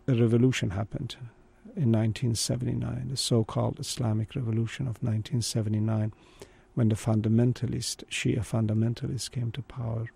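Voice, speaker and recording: Gravelly middle-aged man born in Iran. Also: medium pitch, mellow. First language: Kurdish. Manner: thoughtful, halting storyteller. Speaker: guest. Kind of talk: conversational interview.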